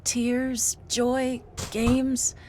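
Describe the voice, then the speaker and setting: A woman performing a contemplative scripted monologue as a reflective astronaut in a game audio log, solemn and measured. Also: fairly high pitch, completely flat delivery, mellow, slight background noise. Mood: solemn, awed. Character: reflective woman reciting an astronaut's awed memories.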